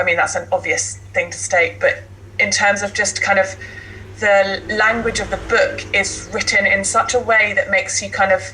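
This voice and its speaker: A woman with a bright, sharp voice and a very level intonation talks casually as a teacher on a podcast. There is faint noise behind her. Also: medium pitch, poor audio. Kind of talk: casual discussion.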